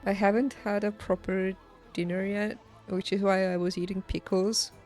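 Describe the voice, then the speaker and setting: A woman game streamer chatting casually, thinking aloud haltingly and laughing easily, as if working out each thought while she talks. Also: mid-range voice, little pitch movement, darkish tone. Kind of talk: casual livestream chat over gameplay. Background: game music.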